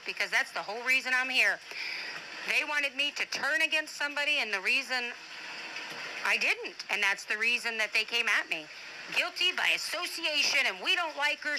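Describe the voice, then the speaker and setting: A woman's voice: fairly high pitch, sharp tone, a little hiss or hum, low-quality recording. A middle-aged woman speaking in an informal voice-message monologue, blunt, indignant and defensive, swearing freely. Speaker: advocate and online broadcaster.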